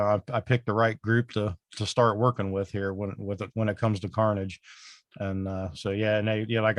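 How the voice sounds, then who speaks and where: A man co-hosting a podcast in casual chat, with a raspy voice, sounding worn out and rambling with lots of fillers. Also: lowish voice, dry recording. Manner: rambling, full of "you know" fillers. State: exhausted, worn out. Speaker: podcast co-host.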